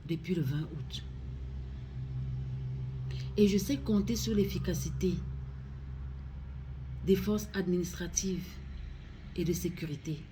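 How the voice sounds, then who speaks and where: A woman with a Cameroonian accent delivers a solemn public appeal in a low, mellow voice. She speaks very slowly and gravely, pleading in deliberate phrases, with a little noise in the recording. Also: rough recording. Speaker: regional councillor making a public appeal.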